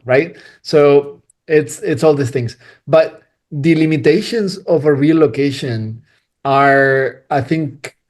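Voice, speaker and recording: A man's voice: medium pitch, darkish tone. A man from Spain, a cinematographer, talking casually in a podcast interview, rambling with many restarts and frequent laughter. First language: Spanish.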